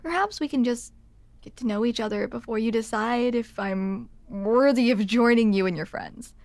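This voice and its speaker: A woman's voice: a little high, moderate pace, a little mellow, dry recording, natural voice. A young woman playing a grumpy, smitten barista in an intimate ASMR roleplay, awkward and hesitant as she confides in the listener. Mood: shy, vulnerable. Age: young adult. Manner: awkward, hesitant, self-deprecating, confessional.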